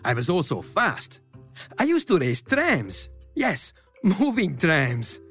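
A man's voice: high voice, huge pitch swings, slow, a bit bright, breathy edge, faint music behind, heavily acted. An actor playing a grown football star who fondly and wryly tells of his boyhood. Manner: fond, wry first-person storytelling.

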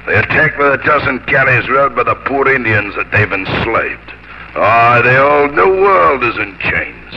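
Gravelly, fervent old sea dog. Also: male voice, medium pitch, lively intonation, measured pace, background noise. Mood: bitter, haunted. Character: weathered, bitter Elizabethan seaman, survivor hungry for vengeance. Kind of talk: 1950s radio drama acting. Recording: muffled 1950s radio broadcast with music.

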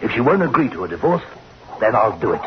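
A man's voice: fairly low pitch, very animated, fast talker. An actor in a 1960s radio drama playing a weak, nervous husband, hesitant and stammering in his agitation. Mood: hesitant, defensive. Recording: muffled noisy old radio recording.

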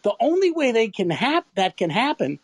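Very lively, swooping man telling a story. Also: extremely high pitch, normal speed, husky, no room sound, studio quality. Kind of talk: casual anecdote telling.

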